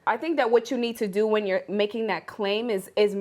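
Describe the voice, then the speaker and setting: A woman's voice: mid-range voice, fairly even intonation, brisk, a little mellow. An American woman on a discussion panel, speaking earnestly and emphatically, repeating words as she builds her point. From United States. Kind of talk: panel debate.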